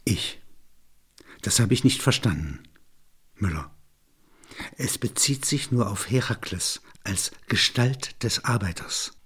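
A man's voice: on the low side, expressive, takes it slowly, long pauses, a bit bright, slightly rough. A man reading a literary dialogue aloud and commenting on it.

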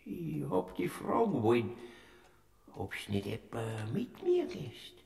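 Boandlkramer, a raspy creaky Death. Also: male voice, a little high, wildly swooping pitch, slow pace, a little mellow, full character voice. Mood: solemn. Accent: Bavarian. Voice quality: gravelly, raspy, drawling. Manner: hesitant, wheedling. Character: Boandlkramer, timid creaky male Death figure. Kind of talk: classic radio play acting.

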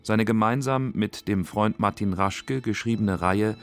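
A man narrating a scripted radio feature in a factual, measured manner. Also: fairly low pitch, a bit bright, soft background music. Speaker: documentary narrator.

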